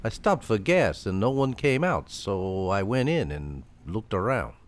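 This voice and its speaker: Slow, swooping, sinister stranger. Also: male voice, on the low side, big pitch swings. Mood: casual, probing. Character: unsettling, smooth-talking male stranger at lonely gas station. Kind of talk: old-time radio thriller acting. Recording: faintly noisy vintage radio recording.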